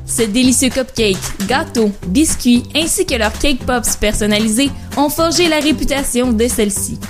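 A woman reading a scripted commercial in a polished, promotional way. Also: high-pitched. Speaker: ad announcer.

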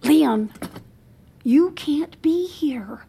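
A woman playing a flustered, guarded finance worker with a halting delivery in a naturalistic audio drama. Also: high-pitched, animated, gravelly, mellow, exaggerated voice. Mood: flustered, hushed urgency. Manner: hesitant, halting. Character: flustered, guarded woman working in finance, secretly leading double life.

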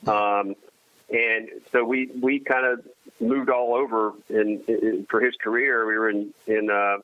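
A man's voice: fairly low pitch, steady tone, no room sound. Man on a tinny phone line. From United States. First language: Armenian. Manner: halting, many restarts, self-deprecating humor. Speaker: guest. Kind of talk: casual conversation.

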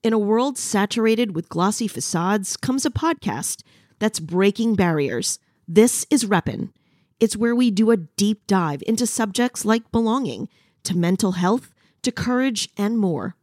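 A woman's voice: mid-range voice, expressive, unhurried, darkish tone, dry recording, clean studio sound. A woman announcer reading a scripted podcast promo.

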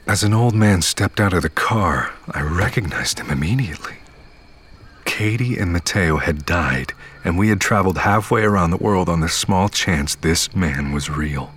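An actor playing a weary, haunted man who recounts his journey in solemn, measured audio fiction narration. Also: deep voice, animated, fairly bright tone, a little hiss or hum. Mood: somber. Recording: music under the voice.

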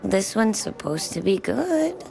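An actress playing a forthright small-town diner waitress in an audio drama, wry, folksy and warm-hearted. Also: female voice, medium pitch, sing-song, a little slow, creaky. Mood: coaxing. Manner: teasing, folksy, direct. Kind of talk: naturalistic audio drama dialogue.

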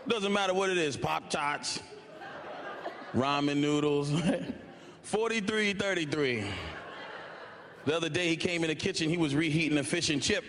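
A man's voice: a little high, fairly bright tone, a little hiss or hum. A male comedian performing a stand-up routine, storytelling and laughing through punchlines.

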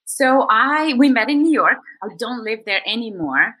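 A woman's voice: on the high side, animated, normal speed, bright, forceful tone. A woman from Bulgaria in her thirties, a coach talking through her story as a podcast guest in a reflective way, with many fillers and restarts. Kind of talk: podcast interview conversation.

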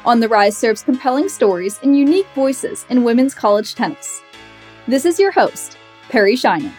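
A woman's voice: on the high side, animated, normal speed, darkish tone, soft background music. A woman hosting a podcast, reading a scripted intro and then chatting casually with her guest. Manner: chatty, retells hearsay with quoted asides. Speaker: podcast host.

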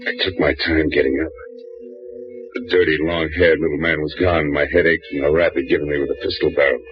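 A deep-voiced man plays a hard-boiled private eye, narrating in a dry, clipped, wisecracking way. The sound is dull and muffled, like an old radio broadcast. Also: steady tone, fast, music in the background. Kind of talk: 1940s radio drama narration.

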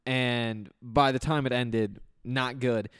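A man's voice: mid-range voice, fairly even intonation, slow, a bit bright, smooth, no echo, clean studio sound. A man hosting a sports podcast in a casual, rambling way, his voice still recovering after losing it. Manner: rambling, conversational. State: recovering from voice loss; allergy flare-up. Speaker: podcast host.

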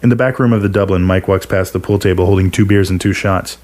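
A man's voice: low-pitched, steady tone, fast, darkish tone. A man reading a first-person short story aloud as a scripted narration.

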